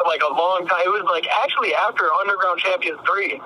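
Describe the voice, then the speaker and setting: A man's voice: high-pitched, fairly quick, bright, forceful tone, a little hiss or hum, low-quality recording. A man talking casually and bluntly as an interview guest, slangy and prone to swearing, on a call from a car. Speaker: music artist, interview guest. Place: in a car while driving.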